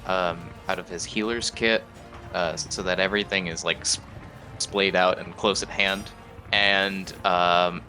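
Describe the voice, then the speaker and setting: Bright, low-pitched man playing a tabletop game. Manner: hesitant, piecing actions together. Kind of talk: casual improvised game talk. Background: faint background music.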